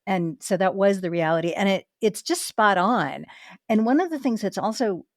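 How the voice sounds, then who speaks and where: A woman's voice: medium pitch, lively intonation, moderate pace, dry recording, clean studio sound. A woman hosting a podcast interview, enthusiastic and conversational, asking about craft. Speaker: podcast host. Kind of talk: casual interview chat.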